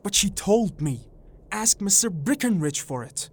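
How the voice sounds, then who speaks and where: A woman's voice: low voice, expressive, slow pace, heavily acted. A woman voicing a weak, nervous little man in a dramatised audiobook, plaintive and easily agitated. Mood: pleading.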